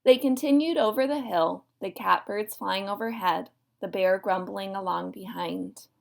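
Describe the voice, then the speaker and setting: A woman narrating a children's story in an expressive read-aloud style. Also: medium pitch, a little slow, gravelly.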